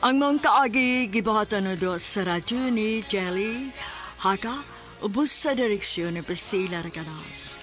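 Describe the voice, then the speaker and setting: A woman with a Cebuano accent hosting a radio drama, reading scripted announcements in a measured, formal way. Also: medium pitch, animated, music in the background.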